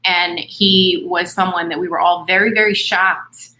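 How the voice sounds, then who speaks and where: A woman's voice: on the low side, fairly even intonation, measured pace, sharp tone, rough recording. Upbeat woman hosting an interview. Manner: upbeat, earnest, fillers like um. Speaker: host. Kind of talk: interview on a video series.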